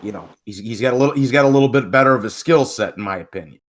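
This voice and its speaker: A man's voice: mid-range voice, racing. Rambling, profane male caller. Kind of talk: casual call-in chat.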